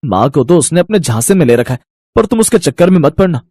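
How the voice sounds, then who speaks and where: A man's voice: a little high, big pitch swings, quick pace, a little mellow, breathy edge, dry recording. A man voicing a young grown son who talks bluntly and dismissively. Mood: scornful. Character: young grown son, impatient and scornful of his mother.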